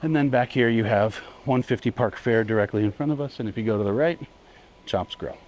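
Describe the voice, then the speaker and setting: A man's voice: mid-range voice, a little fast, darkish tone, a little hiss or hum. A man co-hosting a travel vlog, giving an easygoing, chatty walking tour aboard a cruise ship. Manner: easygoing, chatty, laughs between remarks. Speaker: travel vlogger co-host. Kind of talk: casual walking tour narration. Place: aboard a cruise ship, indoors and on open decks.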